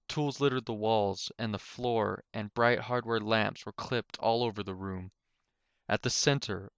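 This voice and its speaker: A man narrates a written story in a fairly low, clear voice. He is an amateur reader, and the recording is dry and close. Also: a bit bright, dry recording.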